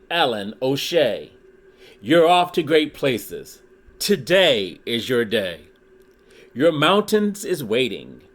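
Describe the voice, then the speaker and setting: A man reading a rhyming children's book aloud in an expressive, deliberate way, pausing dramatically for emphasis. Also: on the high side. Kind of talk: expressive storybook read-aloud in rhyme.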